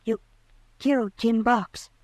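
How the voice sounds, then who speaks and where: A woman's voice: a little high, steady tone, slow pace, darkish tone, no room sound. An actress playing an anxious, loyal frontier woman in an old-time radio western, earnest and pleading. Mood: accusing, distressed.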